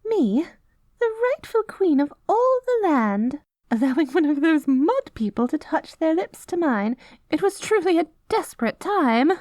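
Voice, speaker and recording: High-voiced, haughty good queen. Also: sing-song, measured pace, a little mellow, no echo. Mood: haughty disdain. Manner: prim, affected, grandly self-righteous. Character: vain, haughty, falsely sweet good queen. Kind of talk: scripted ASMR audio roleplay.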